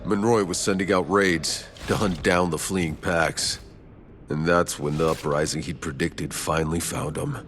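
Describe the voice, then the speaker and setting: A man voicing a blunt, gruff mercenary hero in video game dialogue, swearing freely. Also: deep voice, steady tone, measured pace, fairly bright tone, a little hiss or hum. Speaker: player character, mercenary.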